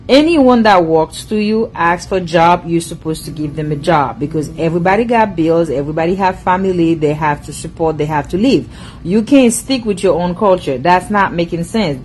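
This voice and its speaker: A woman speaks English with a foreign accent in a lowish voice. She lectures her audience in a casual, emphatic monologue and keeps circling back to the same points. A faint hum sits under the recording. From immigrant to the US. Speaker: social media commentator.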